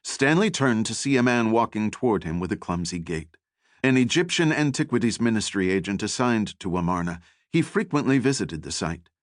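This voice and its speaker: A man narrating adventure fiction as an audiobook, with a measured, deliberate and dramatic delivery. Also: fairly low pitch, expressive, dry recording, studio quality.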